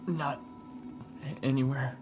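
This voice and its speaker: Male actor as dying video game character, scared, stammering, weak, slow, music underneath. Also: mid-range voice, steady tone, close to an everyday voice. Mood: urgent pleading. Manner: halting, weak, pleading, stammering. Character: frightened dying man freed from villain's control. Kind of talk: dramatic video game acting. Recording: music behind the voice.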